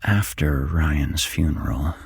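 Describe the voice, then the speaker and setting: A male horror narrator reads in a hushed ASMR style. His low voice is very breathy with a gravelly fry, deliberate and close to the mic. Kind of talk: whispered ASMR scripted story narration. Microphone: close microphone, dry.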